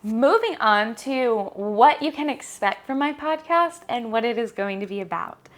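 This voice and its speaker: A woman's voice: a little high, expressive, measured pace. A young woman from Michigan with an American accent, hosting a solo podcast in an earnest, encouraging, personal way. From Michigan, United States. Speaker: podcast host.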